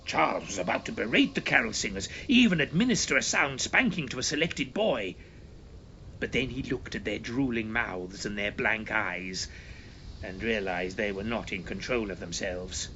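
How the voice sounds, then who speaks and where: Male narrator for mock-serious horror tale, pompous and animated, on a slightly muffled recording. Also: fairly low pitch, normal speed, slight background noise. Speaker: story reader and performer. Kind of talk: dramatic scripted narration of comic horror.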